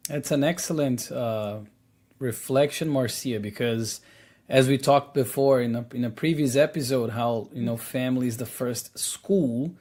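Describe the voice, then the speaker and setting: A man's voice: mid-range voice, measured pace, a little mellow. A man in his thirties co-hosting a spiritual talk, explaining earnestly with many "you know"s and "like"s. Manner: earnest, explanatory, filler-heavy. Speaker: podcast co-host. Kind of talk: casual spiritual discussion.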